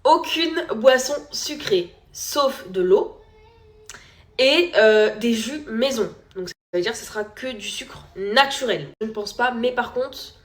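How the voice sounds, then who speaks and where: A young woman, a new mother, chatting candidly to her followers with lots of fillers, sometimes dropping her voice low. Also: lively intonation, slow. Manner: chatty and candid, many fillers, drops to a whisper at times. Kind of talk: casual chat to followers.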